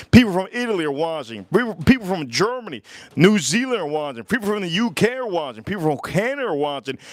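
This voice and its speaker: A man hosting a casual livestream from Florida, animated as he shouts out viewers, and a little sick. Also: fairly high pitch, no echo. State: getting sick. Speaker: livestream host.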